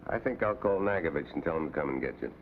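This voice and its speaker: An actor playing a tough, hard-nosed American special agent in a 1950s TV drama, with a clipped, commanding, dry manner. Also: male voice, a bass voice, expressive, fast talker, slight background noise. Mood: hard, threatening. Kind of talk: 1950s television drama acting. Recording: tinny old TV soundtrack, loud music.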